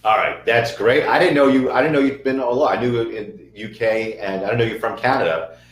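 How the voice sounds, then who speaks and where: A man hosts a webinar over a video call, chatty and jokey with lots of energy. His voice sits at an ordinary male pitch. The call audio is roomy and echoey. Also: steady tone, brisk. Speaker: webinar host. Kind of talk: webinar interview.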